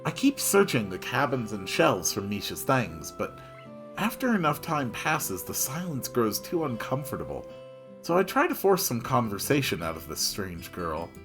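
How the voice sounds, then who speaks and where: Highly expressive male narrator over music. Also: moderate pace. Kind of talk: dramatic first-person visual novel reading.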